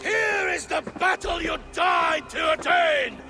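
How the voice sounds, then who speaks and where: An actor playing a fierce Norse god sentinel rallying warriors in a video game, bellowing and declamatory. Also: male voice, extremely high pitch, takes it slowly, creaky, shouting, crowd noise, background noise, exaggerated voice. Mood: rousing battle cry. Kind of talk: video game battle acting.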